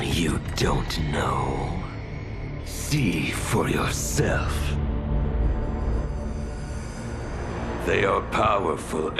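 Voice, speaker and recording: Game villain: megalomaniac titan warlord, very low, swinging wildly in pitch, theatrical and gloating. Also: male voice, full character voice. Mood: boastful. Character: crazed, gloating male titan warlord bent on chaos. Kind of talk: video game villain acting.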